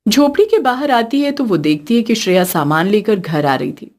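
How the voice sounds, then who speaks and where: A woman's voice: mid-range voice, very animated, moderate pace, a little mellow. A woman narrating a scripted story as a storyteller.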